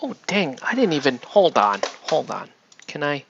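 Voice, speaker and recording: A man chatting casually on a livestream while he paints miniatures, rambling and excitable, often breaking off mid-sentence. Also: fairly high pitch, normal speed, creaky, dull audio, slight background noise. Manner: rambling, excitable, self-interrupting with laughs.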